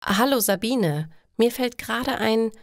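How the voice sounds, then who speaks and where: A woman's voice: fairly high pitch, animated, a little slow, dry recording, clean studio sound, voice pushed up. A woman voicing a chatty woman who frets over her household chores in a story. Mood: friendly, chatty.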